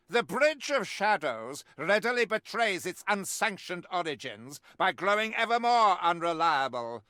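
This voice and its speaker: A man's voice: on the high side, sharp tone, full character voice. A male actor playing a stern, fanatical witch hunter in a video game, declaiming in pompous, archaic, theatrical speech.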